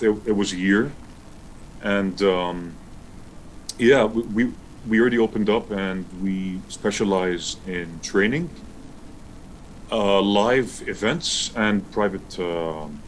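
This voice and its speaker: A man from Lebanon, a pro wrestler and wrestling school founder, answering interview questions in an easygoing, steady way. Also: low voice, slight background noise, rough recording.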